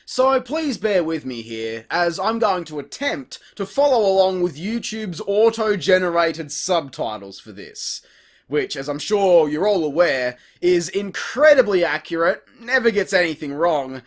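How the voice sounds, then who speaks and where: Sarcastic, animated man reviewing cartoons. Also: sits high, a little slow. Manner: sarcastic, profane, exasperated. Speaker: video reviewer. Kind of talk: comedic review narration.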